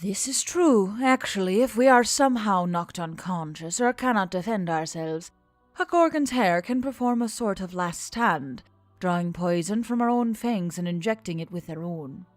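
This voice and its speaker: A serene female gorgon pilot from a storybook, acted out by a woman narrator. She is soft-spoken and speaks in measured, formal phrases, close and dry over faint music. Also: medium pitch, expressive, dry recording, close to an everyday voice. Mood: informative. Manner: measured, quiet, formal phrasing without contractions.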